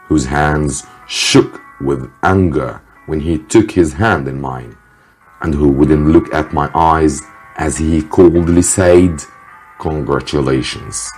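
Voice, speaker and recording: Deep, husky, slow male story reader. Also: darkish tone, low-quality recording. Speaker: English teacher reading a story aloud. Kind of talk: scripted story narration for students. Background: faint music.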